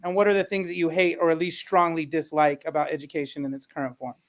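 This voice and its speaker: A man's voice: fairly high pitch, little pitch movement, fast. A man moderating a panel discussion in a conversational way, as its host. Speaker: panel host and elementary school teacher.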